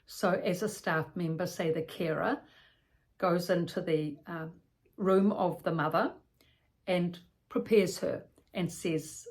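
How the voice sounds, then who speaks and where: A woman with a fairly low voice gives an explanatory talk. She works through practical advice step by step, restating key points and offering examples as an educator would. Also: steady tone, slow.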